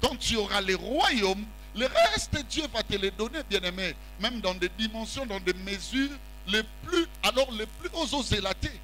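A middle-aged preacher with a high, bright voice that swoops and climbs as he works the room. He keeps pulling answers from the congregation on a handheld mic. A faint hum runs under the recording. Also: sing-song, moderate pace, few pauses. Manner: call-and-response preaching, mixing French and Lingala; walks about the stage while preaching. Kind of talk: sermon. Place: large church hall with congregation.

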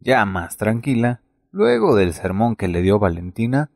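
Guy narrating a radio soap, lowish voice, dry studio sound. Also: big pitch swings, a little slow, gravelly, a little mellow, dry recording.

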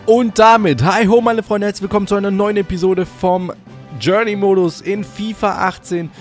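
A man with a slight cold hosting let's play commentary on a football video game from a hot room at home, getting excitable and shouting at goals. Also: high voice, moderate pace, soft background music. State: a bit congested with a cold. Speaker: gaming channel host. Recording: audible fan noise.

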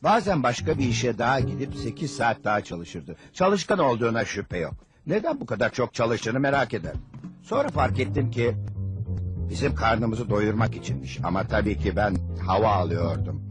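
A grown man narrates a cartoon in the first person, recalling childhood. His medium-pitched voice is wry and confiding, with music clearly playing behind it. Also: sing-song, normal speed. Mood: wry, reflective.

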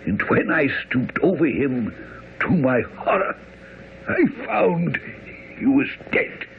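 A man's voice: medium pitch, big pitch swings, slow, creaky, exaggerated voice. An actor playing a frantic, dying old father confessing a secret in a radio drama. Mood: urgent, weakening. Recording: muffled, faintly noisy old radio broadcast.

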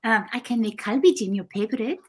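A woman's voice: fairly high pitch, a little fast, a little mellow, slightly rough. A woman playing a fretful Korean immigrant mother, speaking pleading broken English with a Korean accent on a video call. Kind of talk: live table read.

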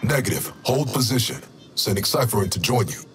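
An actor playing a stern, steady tactical operations leader, giving clipped, authoritative orders over a radio in a video game. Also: male voice, medium pitch, brisk. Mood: firm, controlled. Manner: clipped, authoritative military delivery. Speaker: mission commander. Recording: noisy military radio with vehicle ambience.

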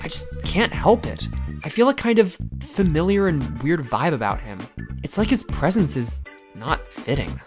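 A glum, wary young jackal creature from a cartoon , acted by a woman, with a very deep voice that still moves around a lot. The delivery is reserved and grudging, over clear background music. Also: sing-song, moderate pace. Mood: uneasy. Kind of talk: animated comic dub acting.

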